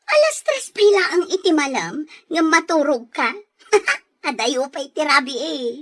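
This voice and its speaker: A woman's voice: high voice, sing-song, measured pace, fairly bright tone, heavily acted. An actress playing a chatty, meddlesome village woman in a radio comedy drama.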